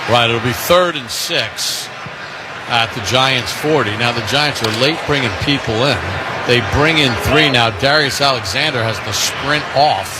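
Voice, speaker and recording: An American man calling live football play-by-play on the radio, breaking into excited shouts on big plays. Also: medium pitch, unhurried, very noisy.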